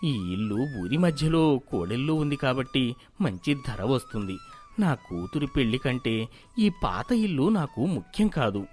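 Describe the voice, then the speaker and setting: A man voicing a gentle, humble middle-aged village father in a cartoon moral story, soft-spoken and earnest. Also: medium pitch, wildly swooping pitch, measured pace, soft background music. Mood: resigned, earnest.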